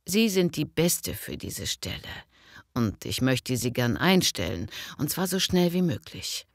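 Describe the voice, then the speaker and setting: A woman plays a friendly, frank female boss in a language-learning dialogue. Her voice is low and lively in intonation, with a cordial, businesslike manner. The sound is dry. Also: a little slow, no room sound, full character voice.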